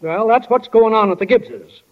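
An actor playing a wry, plainspoken small-town narrator in a 1940s radio drama. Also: male voice, high voice, moderate pace, close to an everyday voice. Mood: folksy, wry. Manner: plainspoken, drawling folksy wording. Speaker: stage manager narrator. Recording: muffled old 1940 radio broadcast.

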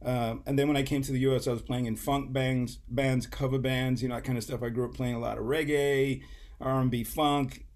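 A middle-aged man from Saint Kitts, a guest musician talking in a relaxed interview, rambling and restarting, often laughing. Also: medium pitch, brisk.

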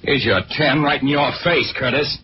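A brash young newspaperman, played by a male actor, talks in slangy, taunting style with a middle-pitched voice. The 1940s radio drama recording is dull and clearly noisy. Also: normal speed, close to an everyday voice. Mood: taunting, angry.

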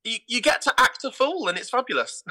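A man talking as an interview guest, telling anecdotes in an animated, theatrical way. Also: extremely high pitch, brisk. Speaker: guest, theatre producer and pantomime dame.

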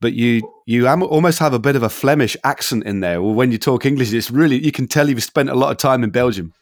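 A man's voice: medium pitch, quick pace, dry recording, clean studio sound. A man hosting an interview, asking long, rambling questions full of fillers. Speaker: interviewer, podcast host. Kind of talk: casual interview.

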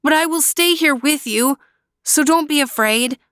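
An earnest young brother speaks with steady reassurance, as a woman voices him. His voice sits a little high. It sounds like a read-aloud storybook. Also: measured pace, dry recording, studio quality.

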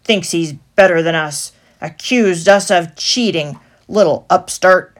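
Sly male rat trader voiced by a woman, sneering, drawling, loud, slow. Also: fairly low pitch, lively intonation. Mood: sneering, angry.

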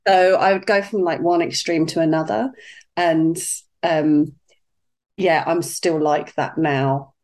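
Chatty British woman, lowish voice. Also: fairly even intonation, takes it slowly, a little mellow. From United Kingdom. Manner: chatty, easygoing, with fillers. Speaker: podcast guest. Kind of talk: casual interview chat.

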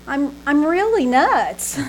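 A woman's voice: high-pitched, very animated, slow pace, a little mellow, heavily acted. A woman playing a flamboyant, daffy young Hollywood starlet with affected, dramatic delivery in an old-time radio comedy on stage. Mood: melodramatic pleading. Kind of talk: old-time radio comedy acting before a live audience. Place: small-town theater stage. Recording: noisy live theater stage recording.

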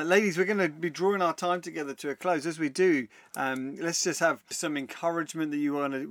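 A man's voice: mid-range voice, no echo. Affirming male podcast host, dry sound. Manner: affirming, gently wrapping up.

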